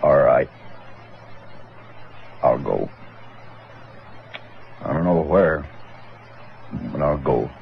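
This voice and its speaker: An actor playing a fearful, broken Old West gunfighter with an American accent and halting, folksy speech, in a 1950s radio western. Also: male voice, rumbling low, vocal fry, heavily acted. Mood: bitter resignation. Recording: muffled old radio recording, faint noise.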